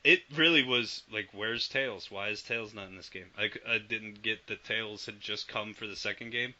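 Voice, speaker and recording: Bright-voiced man in lo-fi podcast chat. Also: lowish voice, moderate pace. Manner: animated, acts out remembered quotes, swears casually. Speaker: podcast co-host. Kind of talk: casual chat about video games. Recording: dull lo-fi podcast audio.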